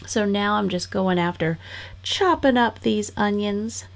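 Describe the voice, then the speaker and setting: A woman's voice: mid-range voice, unhurried, vocal fry. A middle-aged woman narrating her home cooking and cleaning vlog in a chatty, upbeat voice-over. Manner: chatty and upbeat, with frequent laughs. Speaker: family vlogger. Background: guitar background music.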